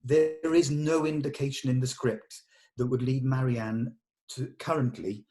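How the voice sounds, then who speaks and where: A man's voice: medium pitch, steady tone, measured pace, dark tone. A man with a middling voice works through a script in an informal discussion. He thinks aloud and gives short, direct instructions about what to change. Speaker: script reader giving notes at a table read.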